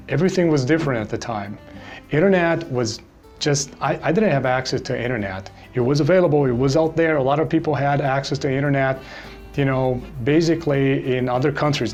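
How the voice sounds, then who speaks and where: A man in his forties from Brazil with a Brazilian Portuguese accent, an English teacher telling his own story to camera in a relaxed way, with many "you know"s. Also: medium pitch. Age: 40s.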